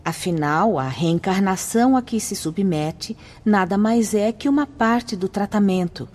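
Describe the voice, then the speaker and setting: A Brazilian woman playing a calm, authoritative spirit mentor in a radio drama, speaking in a measured, formal, didactic manner. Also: medium pitch, close to an everyday voice. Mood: grave explanation.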